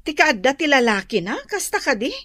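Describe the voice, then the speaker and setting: A woman playing a hurt, soft-hearted young woman in an Ilocano radio drama, plaintive and troubled, with an Ilocano accent. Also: sits high, very animated, fairly quick, no echo, full character voice.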